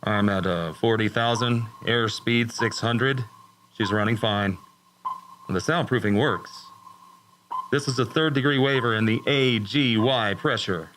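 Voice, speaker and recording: A man's voice: mid-range voice, unhurried, natural voice. Cool test pilot, played by a man, noisy recording. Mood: focused. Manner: wry, matter-of-fact, clipped technical reports. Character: cool, wry male rocket test pilot. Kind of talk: old-time science fiction radio drama acting.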